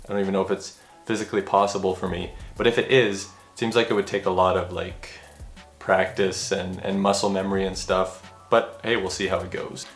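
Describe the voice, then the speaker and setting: Fairly low male vlogger, casual and self-deprecating, stumbling over restarts. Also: level tone, fairly quick. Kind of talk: casual vlog talk to camera. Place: room at home. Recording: roomy echo with faint music.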